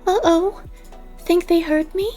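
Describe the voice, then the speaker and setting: A woman's voice: extremely high pitch, slow, mellow, faint music behind, voice pushed up. A woman voicing a wry, curious female cat in a storybook reading, dryly questioning and skeptical. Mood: puzzled, uneasy. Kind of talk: audiobook character voice.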